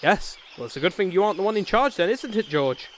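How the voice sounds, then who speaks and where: A man's voice: high voice, big pitch swings, quick pace, a little hiss or hum. A man playing a pompous, stubborn noble knight in a comedy fantasy sketch, haughty and indignant. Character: pompous, blustering noble knight, proud and obstinate.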